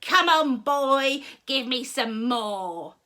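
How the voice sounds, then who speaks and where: A woman voicing a wild, screechy old grandmother who whoops and shouts with glee in a storybook reading. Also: very high voice, big pitch swings, takes it slowly, pitched above the performer's own voice, exaggerated voice. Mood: gleeful shouting.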